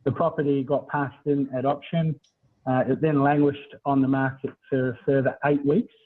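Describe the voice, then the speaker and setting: A man's voice: mid-range voice, level tone, moderate pace. A middle-aged Australian man giving a conversational webinar presentation as a property buyers agent over a video call. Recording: thin, phone-like video call audio.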